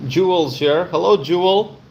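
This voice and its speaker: Clear background noise sits around a man's slow, halting voice as he hosts a casual live stream. He often repeats words and trails into pauses while addressing his viewers. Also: high-pitched, darkish tone, low-quality recording.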